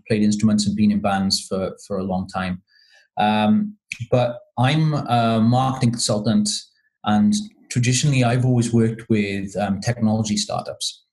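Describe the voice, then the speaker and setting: A man's voice: lowish voice, a little mellow, low-quality recording. A man from Wales, a podcast guest talking casually and hesitantly with many ums and restarts. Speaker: podcast guest, marketing consultant.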